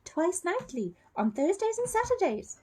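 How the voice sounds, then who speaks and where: Woman doing an eager, high-pitched young girl. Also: sing-song, measured pace, mellow, exaggerated voice.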